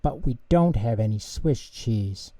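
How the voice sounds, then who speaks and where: A man voicing an anxious, excitable little male mouse in a storybook, with a fretful, exclaiming manner, in an echoey room. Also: mid-range voice, unhurried. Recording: echoey room, distant mic.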